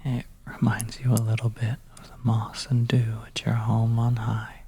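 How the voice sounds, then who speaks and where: A storyteller narrating a suspenseful ASMR tale in a soft, breathy whisper, hushed and gentle with pauses. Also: medium pitch, completely flat delivery. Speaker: audio storyteller.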